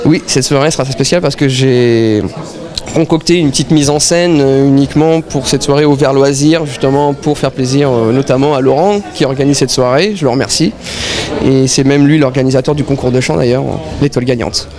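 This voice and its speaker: A man's voice: medium pitch, a little fast, crowd noise. A man in his thirties, a singer being interviewed at a concert, answering hesitantly with shy little laughs. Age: 30s. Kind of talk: casual interview. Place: concert venue.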